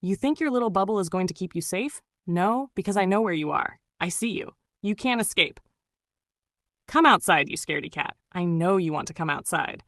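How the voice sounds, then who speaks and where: A woman's voice: fairly low pitch, animated, normal speed, fairly bright tone, dry recording, studio quality. A woman voicing a creature that taunts and stalks its victims. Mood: taunting.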